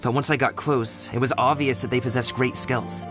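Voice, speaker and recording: A man's voice: medium pitch, steady tone, moderate pace, music in the background, natural voice. Man playing a direct, confident young fighter. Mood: confident, analytical. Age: young adult. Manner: casual, direct. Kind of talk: video game voice acting.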